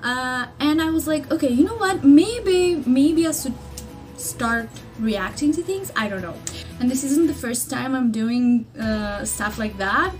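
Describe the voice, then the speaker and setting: A woman's voice: sits high, lively intonation, unhurried, soft background music. A young woman from Greece with a Greek accent, chatting to a webcam in her bedroom in English, her second language, rambling with many fillers and restarts. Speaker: vlogger. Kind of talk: casual chat to camera. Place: small bedroom indoors. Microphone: laptop webcam mic at desk distance.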